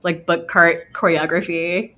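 A woman's voice: lowish voice, flat delivery, measured pace, bright, forceful tone. A woman co-hosting a librarians' podcast, chatting casually with a giggly, chatty manner. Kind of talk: casual group chat.

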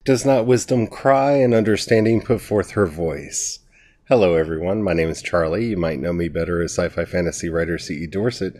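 A man with a cold, his voice gravelly and nasal, teaching a Bible study in a casual spoken way, earnest and thinking aloud. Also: low voice, steady tone, moderate pace, darkish tone.

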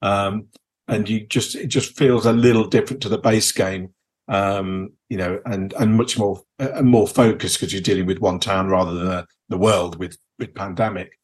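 A man in relaxed, unscripted podcast conversation as a host, often qualifying and circling back over his phrases. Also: lowish voice, moderate pace, breathy edge. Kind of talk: casual unscripted chat about board games.

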